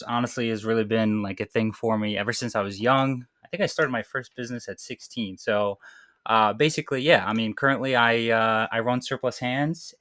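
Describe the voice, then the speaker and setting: A man's voice: on the low side, fairly bright tone, no room sound, studio quality. A young man from Morocco who grew up in the US, chatting informally as a podcast guest, explaining things a bit hesitantly. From born in Morocco, raised in US from age eight. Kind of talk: casual chat.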